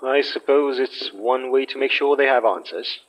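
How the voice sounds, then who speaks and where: A man acts a wry, protective boss in a horror podcast. His pitch is medium and his delivery dry and measured. The recording is a little dull and muffled. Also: natural voice. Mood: dry, teasing. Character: wry, protective male boss of paranormal investigators. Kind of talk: horror audio drama acting.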